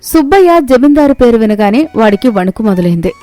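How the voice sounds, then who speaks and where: A woman with a fairly high voice tells a moral story as scripted narration. She works as the storyteller, and soft music plays behind her. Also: big pitch swings, brisk.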